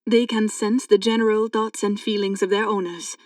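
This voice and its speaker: Clear, smooth-voiced woman explaining calmly as acted. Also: mid-range voice, normal speed, no echo, clean studio sound, recorded from a distance, close to an everyday voice. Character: two sci-fi women, a knowing guide and a curious thief. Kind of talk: full-cast audiobook dialogue.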